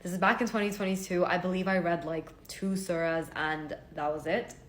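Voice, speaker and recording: A woman's voice: lowish voice, steady tone, a little fast. A woman in her twenties from Hong Kong, telling a personal story in casual vlog style, earnest and chatty with many fillers. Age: 20s. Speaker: vlogger. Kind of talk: casual talking-to-camera story. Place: home.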